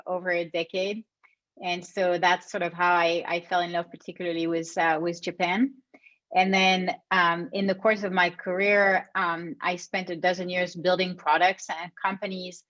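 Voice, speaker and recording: A woman from France, speaking as an investor on a panel, measured with soft fillers. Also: lowish voice. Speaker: venture investor and founder. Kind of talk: panel discussion remarks. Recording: dull, muffled audio.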